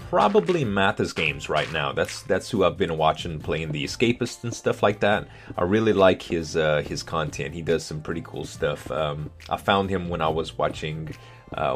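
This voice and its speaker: Deep-voiced man in a relaxed chat. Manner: relaxed, hesitant, lots of ums. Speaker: gaming channel host. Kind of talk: casual viewer Q&A.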